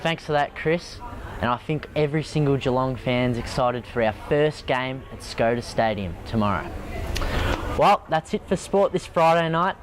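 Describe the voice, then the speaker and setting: A man's voice: mid-range voice, animated, moderate pace. An Australian man presenting sport on local television, handing back in a scripted sign-off style.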